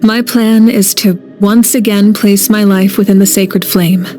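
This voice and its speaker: An actress playing a resolute, composed warrior leader in a video game, speaking earnestly. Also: female voice, mid-range voice, fairly even intonation, measured pace, dark tone, faint music behind, close to an everyday voice. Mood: solemn resolve. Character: resolute warrior archon woman, calm, self-sacrificing leader. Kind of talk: video game cutscene acting.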